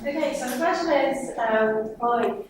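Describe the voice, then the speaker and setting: A woman's voice: a little high, moderate pace, recorded from a distance, background noise. Woman asking from an echoey room. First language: Russian. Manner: searching for words, leans on "like". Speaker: audience member asking a question.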